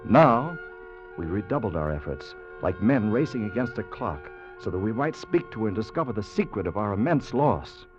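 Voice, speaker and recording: An actor playing an earnest young time traveller who narrates, in 1940s radio drama style. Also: male voice, on the low side, sing-song, normal speed. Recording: dull old radio recording with music.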